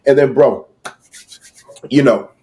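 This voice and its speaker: An American man speaks as a guest in a casual, motivational interview. His medium-pitched voice is emphatic, and he often repeats phrases for weight. His talk is slangy and familiar. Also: slow pace, warm tone. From United States. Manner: emphatic, repetitive, slangy, addresses listener as bro. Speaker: podcast guest, sales entrepreneur.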